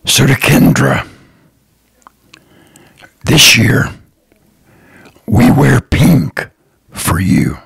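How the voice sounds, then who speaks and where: A man hosting his own podcast, talking slowly and earnestly in a raspy, partly whispered voice. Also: medium pitch, very animated, a bit bright, dry recording. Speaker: podcast host, lawn care business owner. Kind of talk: slow, earnest solo podcast talk.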